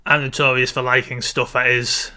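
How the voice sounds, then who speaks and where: A man with a bright, forward voice at a middling pitch. He talks casually as a podcast co-host, in the easy style of banter. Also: flat delivery, normal speed. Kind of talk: casual banter about films and games.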